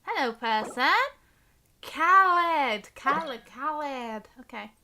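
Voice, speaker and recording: A chatty, giggly, expressive woman live streaming a game in casual chat. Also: sits high, takes it slowly, slight background noise. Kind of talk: casual livestream chat with reactions and read-along comments. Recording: game music behind the voice. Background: game music.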